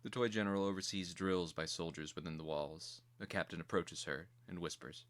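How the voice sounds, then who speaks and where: A man narrating a screenplay as a dramatic reading, in a measured, theatrical manner. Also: low voice, steady tone, fairly bright tone.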